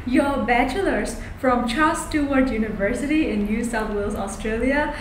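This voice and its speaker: High-voiced woman interviewer in an echoey room. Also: moderate pace. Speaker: interviewer, host. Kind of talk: scripted introduction and prepared interview questions. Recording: roomy echo with background noise.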